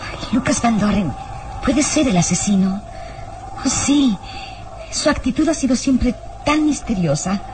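A woman's voice: fairly high pitch, lively intonation, measured pace. An actress playing a frightened, anxious young woman in a melodramatic old radio serial, hesitant and pleading. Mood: anxious brooding. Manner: breathy gasps, hesitant, pleading. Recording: noisy old radio drama recording.

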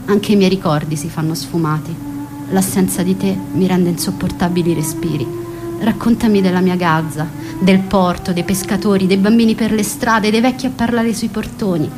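A woman's voice: mid-range voice, fairly even intonation, normal speed, over music, close to an everyday voice. A woman playing a wistful, passionate young woman in a scripted radio drama, speaking intimately and reflectively. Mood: nostalgic. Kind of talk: scripted radio drama monologue and letter reading.

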